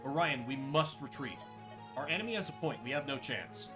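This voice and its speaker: A level-headed tactician from a fantasy game, read by a man, sounds curious and thoughtful at a middle pitch. Music plays behind. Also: expressive, fairly quick, close to an everyday voice. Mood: urgent.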